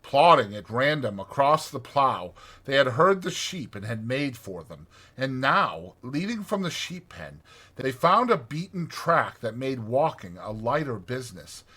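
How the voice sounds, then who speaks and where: A male audiobook narrator reads literary prose with deliberate, expressive phrasing. His voice is bright and forceful with a husky grain, and his pitch moves in lively swings. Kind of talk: dramatized literary storybook narration.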